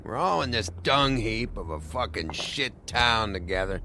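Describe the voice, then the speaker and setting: Gravelly, drunken, belligerent loudmouth. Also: male voice, fairly low pitch, expressive, a little slow, a little hiss or hum, exaggerated voice. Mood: cajoling. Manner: sardonic, needling, drawling, swears constantly. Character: drugged, drunk, belligerent, foul-mouthed man spoiling for a fight. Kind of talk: video game voice acting.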